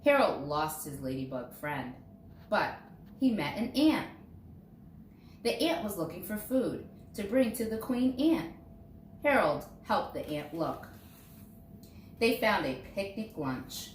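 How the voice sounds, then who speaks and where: A woman reads a picture book aloud to young children in an expressive way, dramatizing key words. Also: mid-range voice, takes it slowly, pauses often. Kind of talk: storybook read-aloud. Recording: roomy echo, distant mic, faint noise.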